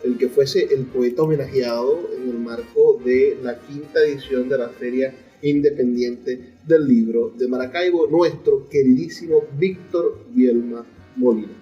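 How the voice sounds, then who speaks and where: A man's voice: mid-range voice, a little slow, few pauses. A man with a Venezuelan accent hosting a literary radio interview, speaking as presenter and reader. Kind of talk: literary radio interview, reading poetry aloud at times. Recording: dull lo-fi radio sound, music behind. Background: music.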